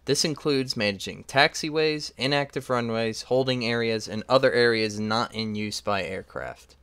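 A man's voice: medium pitch, normal speed, fairly bright tone, clean tone, no room sound. A young man reading a scripted lecture as a student presenter. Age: young adult.

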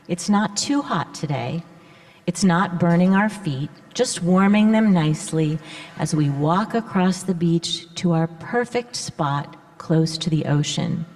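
An older woman leading worship in a church, guiding all ages gently through imagery in an unhurried way. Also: fairly low pitch. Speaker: worship leader. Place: large church sanctuary. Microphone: handheld microphone.

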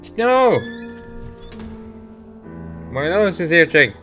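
Slow-talking man commentating a game. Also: fairly high pitch, sing-song. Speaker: let's play gamer. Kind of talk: casual gameplay commentary. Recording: game music behind voice.

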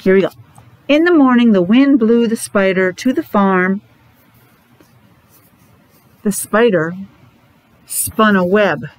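A middle-aged or older woman reads to small children in the manner of a classroom teacher. Her medium-pitched voice is slightly muffled by a mask, and she breaks off for simple explanations and questions. There is light noise behind her in the room. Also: takes it slowly, long pauses. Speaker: preschool or elementary teacher. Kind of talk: read-aloud storytime for young children with chatty asides. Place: classroom, indoors.

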